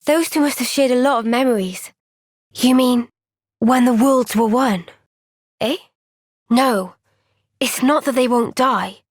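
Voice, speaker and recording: A woman playing an earnest, gentle young female soldier in a video game cutscene, speaking with measured, sincere resolve. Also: a little high, no echo, studio quality. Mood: thoughtful, earnest.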